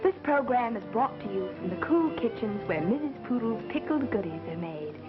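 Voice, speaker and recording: Muffled vintage film sound, woman playing poised plucky career woman, self-possessed, highish, very lively intonation. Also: fairly quick, music in the background, lightly acted. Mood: polished. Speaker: actress in a film. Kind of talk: 1930s mystery film acting.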